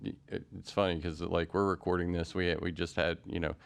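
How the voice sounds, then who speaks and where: A man with a very low, smooth and resonant voice hosts a podcast interview. He talks loosely and casually, circling his questions with fillers and restarts. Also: flat delivery, a little fast. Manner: rambling, many fillers and restarts. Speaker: podcast host.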